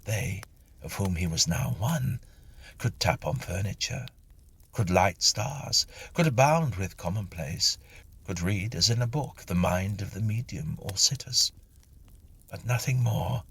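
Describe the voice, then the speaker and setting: A man reading a whimsical ghost story as an audiobook narrator, with measured, dramatic phrasing. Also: fairly low pitch, lively intonation, creaky, a bit bright, breathy edge.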